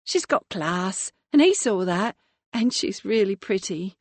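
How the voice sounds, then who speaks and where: A woman's voice: mid-range voice, lively intonation, measured pace, no room sound. A woman voicing a chatty young female hotel worker who talks in a confiding, gossipy way.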